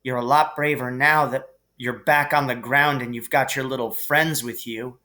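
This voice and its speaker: A man's voice: medium pitch, steady tone, moderate pace, sharp tone, close to an everyday voice. A man voicing a plucky young schoolboy hero, earnest and pointed, in a storybook reading. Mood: cool.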